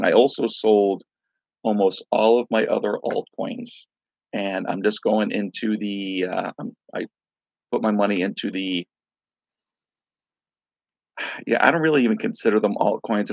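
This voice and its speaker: Guy with a raspy scratchy voice doing a loose live stream, kinda low, dry dull audio. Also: no echo. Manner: rambling, loose, greets viewers by name. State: raspy voice from singing. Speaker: crypto livestream host. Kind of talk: casual live-stream chat.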